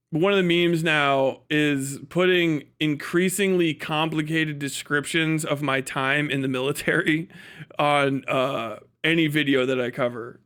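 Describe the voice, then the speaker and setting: A man's voice: on the high side, a bit bright, smooth. An American man giving casual, off-the-cuff live commentary as a streamer, joking and swearing irreverently. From United States. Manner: breaks often into chuckles. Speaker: livestreamer and podcast host.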